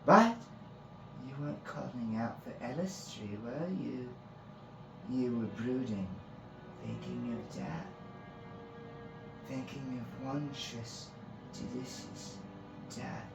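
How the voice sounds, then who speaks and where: A man voicing a maniacal, patronizing female fae priestess in a fantasy story, savoring and teasing with drawn-out words. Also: medium pitch, animated, gravelly, voice pushed up. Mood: mocking, teasing. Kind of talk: dramatic fantasy storybook reading. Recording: roomy echo, music behind.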